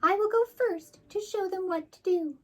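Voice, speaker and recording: A woman voicing a haughty, indignant queen bee in a shrill, imperious storybook character voice. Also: normal speed, a little mellow. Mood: bossy.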